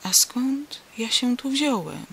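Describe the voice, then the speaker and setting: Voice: mid-range voice, animated, takes it slowly, gravelly, a bit bright, slightly rough, pitched above the performer's own voice, heavily acted. A storybook reader voicing a dazed, confused man who gives short, hesitant, muttered answers. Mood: dazed, puzzled. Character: dazed, confused male stranger with lost memory.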